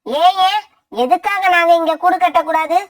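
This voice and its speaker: A woman's voice: extremely high pitch, steady tone, moderate pace, recorded from a distance. A woman voicing a stubborn, suspicious female crow in an argumentative, insistent way for a children's cartoon fable. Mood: indignant, suspicious. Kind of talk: cartoon voice acting.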